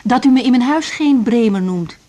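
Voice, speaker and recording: An actress playing a young woman doctor in a radio drama, earnest and a little hesitant, with a slightly husky voice. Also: fairly high pitch, expressive, normal speed, warm tone, lightly acted. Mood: dryly assertive. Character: young female doctor, earnest, unsure but quietly assertive. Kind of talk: naturalistic Dutch radio drama dialogue.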